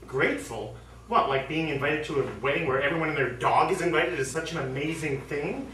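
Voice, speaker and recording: A man's voice: on the high side, brisk, pushed and bright. A man playing a disgruntled, sarcastic husband at a wedding in an amateur stage play. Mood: indignant. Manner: complaining, exasperated, sarcastic. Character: disgruntled husband and garage boss, sulky wedding guest. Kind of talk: amateur one-act stage play acting. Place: indoor theatre studio, heard from afar. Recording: roomy distant stage recording, faint noise.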